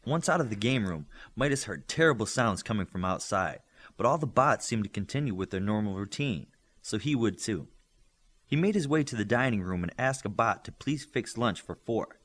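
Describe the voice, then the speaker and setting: Animated male audiobook narrator. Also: fairly low pitch, moderate pace. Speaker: audiobook reader. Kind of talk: expressive scripted story narration.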